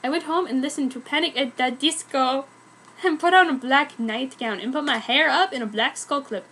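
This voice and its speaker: A woman with a very high voice narrates a fan fiction story. The reading is dramatic and comic, told with exaggerated earnestness. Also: moderate pace, bright, forceful tone.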